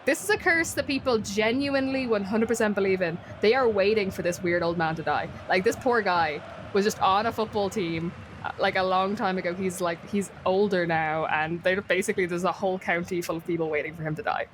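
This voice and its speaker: A woman's voice: medium pitch, brisk, a little hiss or hum. An Irish woman chatting casually as a guest, telling stories in a wry, self-deprecating way. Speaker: podcast guest. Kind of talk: casual storytelling chat.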